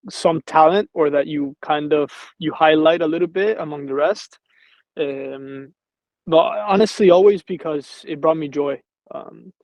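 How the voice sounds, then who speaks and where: Easygoing footballer, dry and dull. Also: male voice, a little high, a little mellow, dry recording. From Venezuela. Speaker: professional football player, guest. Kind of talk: casual interview.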